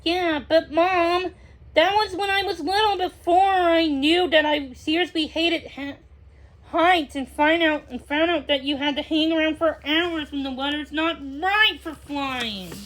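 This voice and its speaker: A woman as a high, sulky young girl. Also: measured pace, fairly bright tone, rough recording. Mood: sulky, bitter. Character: sulky, disappointed young girl dragged along by parents.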